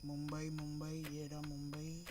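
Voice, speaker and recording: A man from Mumbai streaming a cricket video game, slightly hoarse from a sore throat, thinking aloud and calling his viewers "bro" in nearly every phrase. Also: a little high, completely flat delivery, a little slow, noisy background. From Mumbai, India. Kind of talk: live gameplay stream chat.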